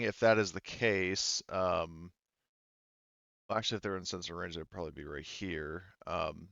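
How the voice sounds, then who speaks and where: A man running a tabletop roleplaying game as game master, talking casually and haltingly, with informal wording and some swearing. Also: sits low, fairly bright tone, clean tone, no echo. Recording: dull, lo-fi audio.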